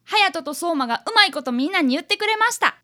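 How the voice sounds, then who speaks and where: A woman's voice: very high voice, lively intonation, fast talker, exaggerated voice. A woman playing a polite, eager fifth-grade boy apprentice detective in a radio drama. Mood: earnest, cheerful. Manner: polite, earnest, bright delivery. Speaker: actor and narrator in radio drama. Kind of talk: community radio drama acting.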